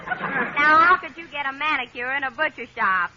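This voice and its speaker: A woman's voice: high voice, animated, normal speed, heavy background noise. An actress playing a nervous, exasperated woman feeding straight lines in 1940s radio comedy. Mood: puzzled. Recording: dull, band-limited 1940s radio recording.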